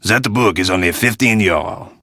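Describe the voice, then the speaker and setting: An actor playing a polite, insistent Parisian market vendor in a video game, speaking formally with a French accent. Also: male voice, low voice, moderate pace, sharp tone, no room sound, studio quality, heavily acted. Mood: persuasive, polite. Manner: courteous, formal salesman's patter. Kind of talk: video game character dialogue.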